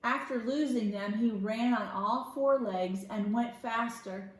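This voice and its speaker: A woman reading a children's story aloud expressively, in a storyteller's deliberate way with simple explanatory asides. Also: fairly high pitch. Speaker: school media specialist reading aloud to children. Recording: echoey room.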